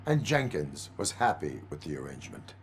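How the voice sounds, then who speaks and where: Low deadpan robot cop from a video game, formal and clipped, bit of noise behind. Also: male voice, moderate pace. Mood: stern. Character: cyborg policeman, stoic, deliberate and coldly formal. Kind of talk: video game cutscene acting.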